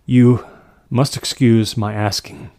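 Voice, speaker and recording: A man's voice: mid-range voice, steady tone, slow pace, dark tone, no room sound. A man playing an uneasy, polite artist in a horror story, speaking in a careful, hesitant way. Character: uneasy, polite male artist telling his own eerie tale.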